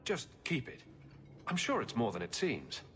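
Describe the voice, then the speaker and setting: An actor playing a helpful, dryly wry adventurer hero in a fantasy video game, talking casually. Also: male voice, medium pitch, huge pitch swings, moderate pace, a bit bright, soft background music. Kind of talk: scripted game cutscene acting.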